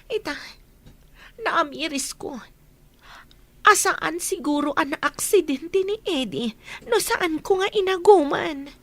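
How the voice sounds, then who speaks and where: Very high-pitched, anxious young mistress played by a woman. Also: a bit bright, full character voice. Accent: Filipino. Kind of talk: Filipino radio drama acting.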